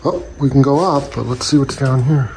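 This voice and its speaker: A man's voice: medium pitch, normal speed, slight background noise, poor audio. A man hosting an urban exploration video, casually talking to viewers as he walks through an abandoned building, recorded on a handheld camera. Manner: relaxed, talks to viewers as "guys".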